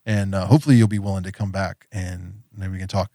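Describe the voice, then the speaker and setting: A man's voice: deep voice, steady tone, fast talker, mellow, dry recording, studio quality. A man hosting a podcast in casual chat, rambling with lots of fillers and easy laughs. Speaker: podcast host.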